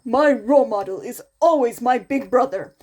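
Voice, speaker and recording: A woman's voice: fairly high pitch, moderate pace, darkish tone, lightly acted. An earnest, determined teenage boy in an audio story, voiced by a female reader. He speaks with polite formality.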